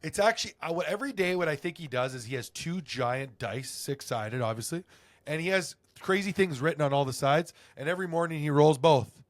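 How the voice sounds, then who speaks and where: A young man with a slightly high voice trades teasing jabs and quick disbelieving reactions. The sound is dry and close, with no room echo. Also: expressive. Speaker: podcast co-host. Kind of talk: casual crude banter.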